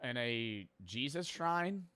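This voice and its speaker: A man reacting to a video with excitable, joking commentary, swearing as he riffs. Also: mid-range voice, takes it slowly, clear, resonant tone. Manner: excitable, swearing, riffing jokes.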